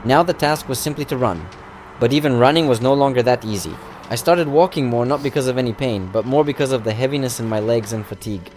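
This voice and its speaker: A man narrating his own running challenge as a reflective voice-over, in a steady and earnest way, recounting events step by step. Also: mid-range voice, quick pace, a little hiss or hum. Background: music.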